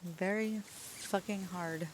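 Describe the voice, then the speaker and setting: A woman's voice: lowish voice, fairly even intonation, takes it slowly, a little hiss or hum. A woman VTuber streamer chatting casually with her chat, swearing often and poking fun at herself. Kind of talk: casual livestream chat, answering chat.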